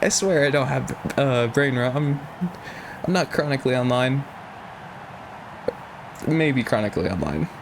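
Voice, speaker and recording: A man's voice: mid-range voice, expressive, a little slow, long pauses, noisy background. A man giving casual, slangy livestream commentary on a game, in a joking, giggly manner. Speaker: game streamer. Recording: game music behind the voice.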